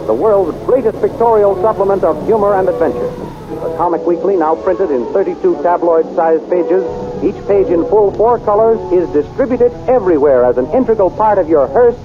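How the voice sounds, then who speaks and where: 1930s radio announcer, male, bright high voice, hard-sell pitch, dull recording with music underneath. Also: a little fast. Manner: enthusiastic, salesy.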